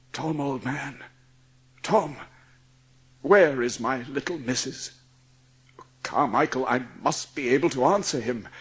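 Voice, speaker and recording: An actor playing a guilt-ridden, ailing, middle-aged gentleman in a dramatised audiobook, speaking with halting anguish. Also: medium pitch, husky. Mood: pleading.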